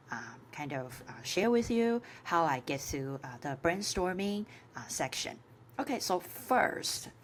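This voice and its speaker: A woman with a Chinese accent, a native Chinese speaker, giving an informal tutorial as a course instructor, with halting phrasing full of filler sounds. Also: low voice, slight background noise. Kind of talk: informal screen-share tutorial.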